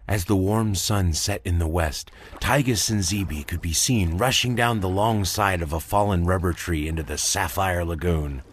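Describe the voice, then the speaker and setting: A man's voice: sits low, moderate pace, hardly any pauses, slightly rough. A man reading a children's story as a scripted storybook narrator.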